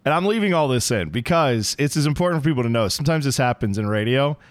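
Hoarse thirtysomething male podcast co-host, chatty and self-mocking, dry recording. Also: mid-range voice, expressive, fast talker, no room sound. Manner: rambling, self-deprecating storyteller. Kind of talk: casual sports chat. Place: home basement.

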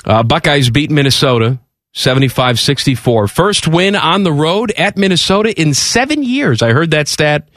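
A man's voice: on the high side, lively intonation, normal speed, a bit bright, dry recording. A man with a stuffed-up head cold, hosting a sports radio show from a studio microphone with wry, playful banter.

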